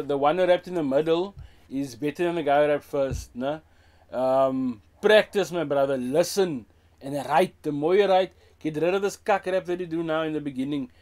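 A man in his thirties with a Cape Town South African accent and a voice pitched a little high for a man. He talks bluntly and casually, mixing in Afrikaans phrases. He is recorded in a small room with an off-camera mic. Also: a little mellow. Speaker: music reaction host. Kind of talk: casual reaction commentary. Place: small indoor room with a brick wall.

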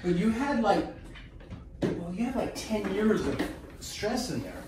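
A man's voice: sits high, big pitch swings, unhurried. Man instructing in a roomy, noisy space. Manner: instructing, reassuring, checking in. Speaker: chiropractor and video host. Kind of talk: casual talk with a patient during treatment. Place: small indoor treatment room. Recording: roomy, distant mic with clear background noise.

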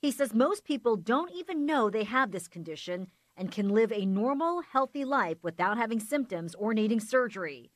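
A middle-aged woman anchoring and reporting a TV news health story in a clear, measured newsreader style. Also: on the high side, lively intonation, no room sound. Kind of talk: scripted news narration. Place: TV studio.

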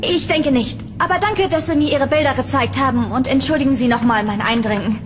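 Woman acting an uneasy, high-voiced young tenant. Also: fairly quick, over music, natural voice. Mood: nervous, polite. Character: curious, polite, uneasy young female tenant. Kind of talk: German horror audio drama acting.

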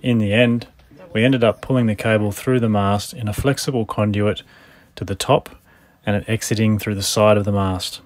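A man, narrating his sailing vlog in a matter-of-fact, tired and wry way, filmed close up on a handheld camera aboard a boat in a marina. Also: medium pitch. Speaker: sailing vlogger. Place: on a sailboat in a marina, outdoors. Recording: outdoor wind and ambient noise.

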